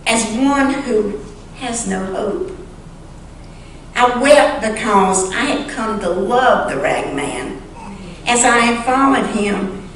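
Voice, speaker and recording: A woman reads a story to a church in a solemn, dramatic style. She takes it very slowly, with deliberate pauses between phrases. The sound is heavily echoing, as in a big sanctuary, with a faint layer of noise. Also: medium pitch. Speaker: church member reading a short story aloud. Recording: echoey church hall, distant mic, faint noise.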